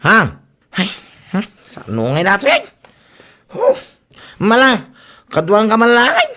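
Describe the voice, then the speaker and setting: An actor with an Ilocano accent playing a reluctant, exasperated henpecked husband in a radio comedy drama. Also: male voice, high-pitched, wildly swooping pitch, unhurried, pushed and bright, exaggerated voice.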